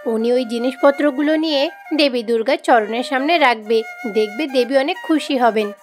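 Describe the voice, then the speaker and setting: A very high woman's performance of a kindly village neighbour in a cartoon folktale. She explains things in an instructive, helpful way over background music. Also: moderate pace, close to an everyday voice. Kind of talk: Bengali fairy-tale cartoon dubbing.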